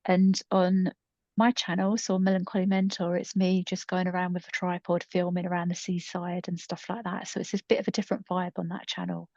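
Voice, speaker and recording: Middle aged woman podcast chat, lowish voice, dry muffled lo-fi recording. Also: monotone, fairly quick, a little mellow, dry recording. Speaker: podcast co-host and storytelling creator. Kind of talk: casual unscripted podcast chat.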